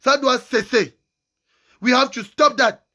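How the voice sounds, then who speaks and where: A man's voice: very high voice, slow pace, sharp tone. A man giving an angry, impassioned political rant, hammering home repeated phrases. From Cameroon. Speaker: activist vlogger.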